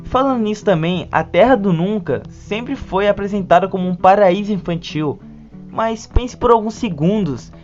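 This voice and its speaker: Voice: mid-range voice, lively intonation, moderate pace, a little mellow. A narrator with a Brazilian Portuguese accent delivering a scripted video essay with informal touches. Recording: dull lo-fi sound, music behind. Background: background music.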